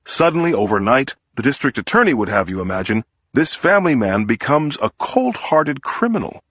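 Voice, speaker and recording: A man's voice: medium pitch, very animated, moderate pace, fairly bright tone, breathy edge, no echo. A man voicing an indignant, persuasive defense lawyer delivering an oratorical closing argument to a jury in an audiobook. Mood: scornful. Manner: oratorical, emphatic, scornful.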